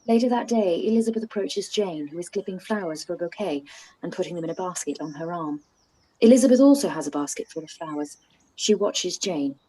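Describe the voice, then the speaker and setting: Mellow woman reading stage directions. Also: fairly low pitch, expressive, a little fast, breathy edge, poor audio. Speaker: narrator.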